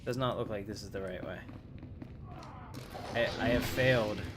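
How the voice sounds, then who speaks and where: A man's voice: on the low side, normal speed, very noisy. A man livestreaming a game, chatting casually and offhandedly while he plays.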